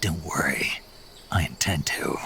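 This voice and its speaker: Deep, gruff game soldier. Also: male voice, measured pace, noisy background, exaggerated voice. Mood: terse, assured. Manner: terse, gruff. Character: gruff, confident male soldier on a jungle mission. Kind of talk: video game radio dialogue acting.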